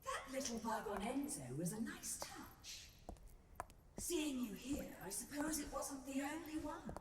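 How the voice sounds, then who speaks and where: A woman's voice: sits high, very animated, unhurried. A woman playing a sultry, self-assured witch in a video game, coy, mocking and condescending. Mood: coy teasing. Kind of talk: theatrical video game voice acting. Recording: echoey game audio with clear noise.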